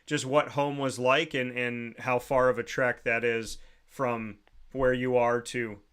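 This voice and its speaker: Smooth-voiced male interview host. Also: medium pitch, flat delivery, unhurried, a bit bright. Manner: friendly, conversational questioning. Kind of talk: interview questions.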